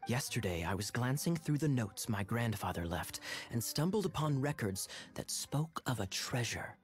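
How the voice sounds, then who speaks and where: Guy doing a smooth sly knight captain, teasing and theatrical, mid pitch, video game. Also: very animated, moderate pace, soft background music, lightly acted. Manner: smooth, theatrical, playfully mocking. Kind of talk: game cutscene dialogue.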